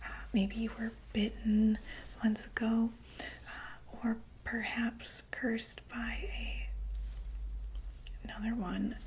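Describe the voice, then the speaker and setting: A woman whispering breathily in an ASMR medical roleplay, explaining things as a calm doctor in a gentle, hesitant, clinical way. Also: on the high side, slight background noise, low-quality recording. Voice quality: breathy, mostly unvoiced whisper. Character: calm, attentive doctor to monsters.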